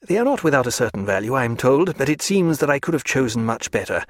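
A male narrator voicing a reserved, earnest naturalist who speaks in a measured, formal way. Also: mid-range voice, very animated, no echo, studio quality, lightly acted.

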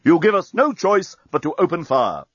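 A man voicing a menacing enemy space fleet commander, loud, declamatory and imperious, in an audiobook story. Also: fairly high pitch, sing-song, normal speed, breathy edge, dry recording, dull audio, voice pushed up, full character voice. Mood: booming menace.

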